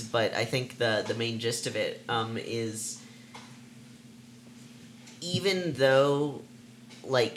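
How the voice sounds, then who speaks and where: A man's voice: mid-range voice, fairly bright tone, slight background noise. A young adult podcast co-host chatting casually, rambling and searching for words as he talks. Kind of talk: casual chat.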